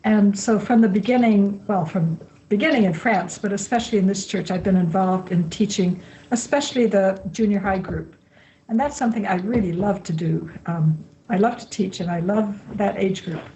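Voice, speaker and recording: A woman in her sixties who grew up in Brazil, a missionary Bible teacher answering interview questions in a calm, measured way. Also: mid-range voice, rough recording.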